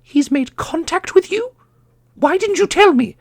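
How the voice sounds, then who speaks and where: A woman acts out a commanding celestial heroine in a fantasy audio drama. Her voice is high and lively in pitch, breathy with some creak, and recorded very dry. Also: a little slow, dry recording. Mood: startled, urgent. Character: commanding celestial woman searching for her lost grandmaster sibling. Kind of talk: fantasy audio roleplay acting.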